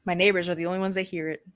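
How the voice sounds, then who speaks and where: A woman from near Boston, a VTuber guest, with a mostly masked Boston accent, laughing often and animated in casual chat. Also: medium pitch. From Massachusetts.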